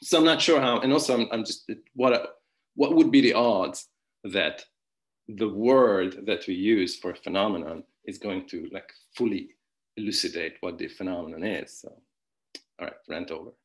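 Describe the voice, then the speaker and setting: A man's voice: sits low, normal speed. A man whose first language is Hungarian, giving an online philosophy conference talk with many restarts, fillers and wry asides. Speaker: philosophy lecturer.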